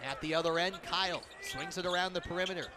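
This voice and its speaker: A man doing live radio play-by-play of a basketball game, an excitable announcer who builds to loud calls on big plays. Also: sits high, fast. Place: courtside in a large indoor arena. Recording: crowd noise, bouncing ball in arena. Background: arena ambience, basketball bounces.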